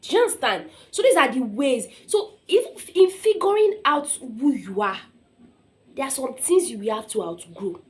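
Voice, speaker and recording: A woman's voice: sits high, very animated, moderate pace. A young Nigerian woman speaking as an informal motivational speaker, telling personal stories emphatically, acting out quotes and often checking in with listeners.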